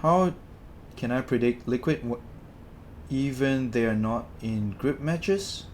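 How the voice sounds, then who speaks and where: A man's voice: medium pitch, lively intonation, slow, darkish tone, slight background noise. A young man from Malaysia chatting loosely with his live-stream viewers, rambling and correcting himself as he talks. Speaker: streamer answering chat. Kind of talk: casual live-stream chat.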